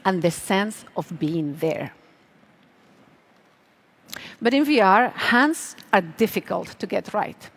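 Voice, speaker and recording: Deliberate woman presenting a tech keynote. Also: mid-range voice. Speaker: product manager presenting. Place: large auditorium stage.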